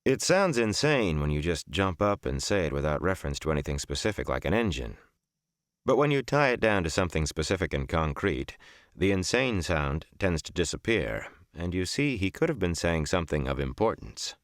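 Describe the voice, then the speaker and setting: Male narrator, low register with lively intonation, for reflective, scripted first-person audiobook. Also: normal speed, no room sound, studio quality.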